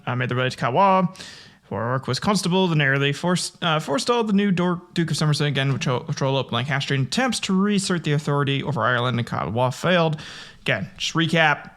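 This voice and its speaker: A man's voice: fairly high pitch, fairly bright tone. A young man hosting a history podcast, reading history with casual, profane comic asides and frequent laughs.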